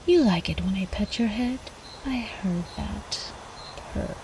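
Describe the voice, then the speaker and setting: A woman voicing an ancient, seductive vampire countess in an intimate ASMR roleplay, teasing and cooing with quiet menace, often slipping into whispers. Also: mid-range voice, expressive, takes it slowly, noisy background. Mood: doting, teasing.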